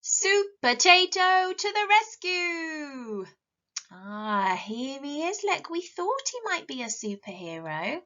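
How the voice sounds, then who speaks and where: A woman teacher reading a picture book to children, animated and asking them questions, often dropping to a whisper. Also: high-pitched, takes it slowly, dull audio.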